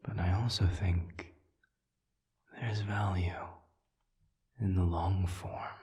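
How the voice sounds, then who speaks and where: Man voicing a very slow, deep, suave demon. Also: steady tone, darkish tone. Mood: gently probing. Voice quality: breathy, half-whispered, low. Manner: measured, deliberate, formal phrasing with long pauses. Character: suave, patient male demon offering soothing counsel. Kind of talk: audio roleplay, intimate one-sided dialogue.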